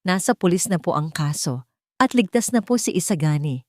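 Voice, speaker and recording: A woman voicing a wounded but polite and firm young housemaid in a dramatized love story, speaking with quiet restraint. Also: lowish voice, lively intonation, moderate pace, no echo, clean studio sound, natural voice. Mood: firm, guarded.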